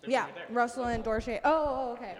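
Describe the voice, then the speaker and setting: Female podcast host, higher voice, casual and in charge, organizing improvisers. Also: fairly quick, crowd noise. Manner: directing, organizing. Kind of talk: casual improv show hosting.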